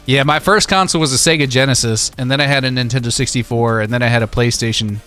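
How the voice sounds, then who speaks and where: A man with a smooth, clean, medium-pitched voice talks casually about video games. He is in his thirties and reminisces with slangy enthusiasm, with music playing behind him. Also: quick pace, pushed and bright.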